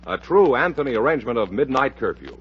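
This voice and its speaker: An American man hosting a radio show in a 1950s style, pitching with polished, folksy, upbeat salesmanship. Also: very animated, quick pace, heavy background noise. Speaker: radio announcer and host. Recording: muffled old radio transcription.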